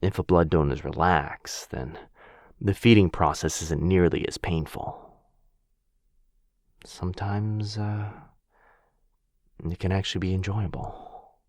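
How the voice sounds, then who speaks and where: A man voicing a gentle, protective vampire in an ASMR roleplay, soft, breathy and husky, soothing in a hushed, halting way. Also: deep voice, fairly even intonation. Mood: gentle, hesitant. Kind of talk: boyfriend ASMR roleplay audio drama.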